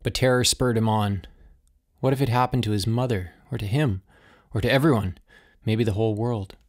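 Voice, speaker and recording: A man's voice: lowish voice, moderate pace. A man reading scripted narration of a suspense story as an audiobook narrator.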